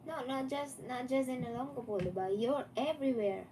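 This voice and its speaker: A woman's voice: high-pitched, a little fast, dark tone. A woman from the Philippines with a Filipino accent, chatting and teasing as a co-host. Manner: chatty, teasing, tag questions. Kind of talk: casual livestream chat. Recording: distant mic, faint noise.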